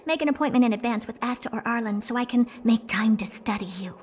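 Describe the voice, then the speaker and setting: A woman's voice: a little high, fast talker, darkish tone, a little hiss or hum, lightly acted. A woman voicing a haughty, blunt, self-important female genius who owns a space station, in an English video game dub. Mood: offhand, breezy. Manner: haughty, blunt, dismissive, easily intrigued.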